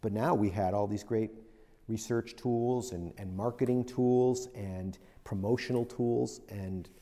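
A man's voice: fairly low pitch, fairly even intonation, measured pace, mellow, long reverb tail. A middle-aged man telling stories as a guest in an interview, reflective and rambling. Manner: reflective, rambling, with many restarts. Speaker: interview guest, business veteran. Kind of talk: storytelling in a casual interview.

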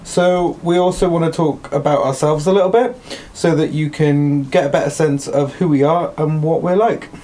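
A man's voice: fairly high pitch, fairly even intonation, moderate pace, hardly any pauses, warm tone. Posh-sounding English man in his twenties. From England. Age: 20s. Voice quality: posh, plummy voice. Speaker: podcast co-host. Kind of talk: casual chat.

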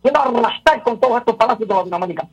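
A man's voice: extremely high pitch, animated, very fast, vocal fry, husky. A man calling in to a radio show as a political commentator, repeating words and restarting his sentences.

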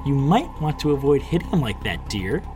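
A man's voice: medium pitch, huge pitch swings, brisk, music in the background. A man voicing a wicked woman spellcaster in an audiobook, with a taunting, menacing, emphatic delivery. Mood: gloating, ominous.

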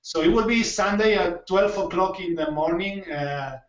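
A man hosting a casual podcast interview in non-native English, speaking haltingly as he searches for words. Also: sits high, echoey room, distant mic, dull audio. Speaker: podcast host.

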